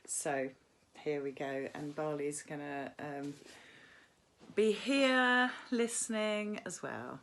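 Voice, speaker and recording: Woman, British accent, slightly low pitch, English teacher, reading a story aloud, informal explanatory delivery. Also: takes it slowly, fairly bright tone.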